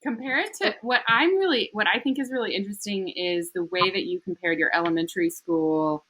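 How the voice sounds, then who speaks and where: A woman's voice: mid-range voice, a little fast, sharp tone. A woman hosting a casual interview with a friend, upbeat and loose, filling her speech with "like" and "um". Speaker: interviewer and channel host. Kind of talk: casual interview intro and questions.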